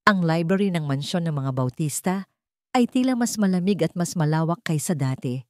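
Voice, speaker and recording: Woman narrator, low voice, very dry close sound, reading a drama story. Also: expressive, moderate pace, darkish tone, no room sound.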